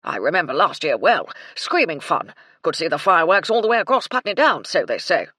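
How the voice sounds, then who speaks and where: A woman doing a loud, blustering old colonel. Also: low voice, big pitch swings, fairly quick, husky, no room sound, clean studio sound, full character voice. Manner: bluff, clipped, hearty old-fashioned slang. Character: blustering old male English colonel, tipsy. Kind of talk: audiobook character voice.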